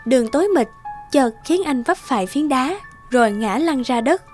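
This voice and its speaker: A woman narrating a scripted fairy tale for a children's cartoon in a measured storytelling manner. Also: high voice, expressive. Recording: music clearly behind the voice.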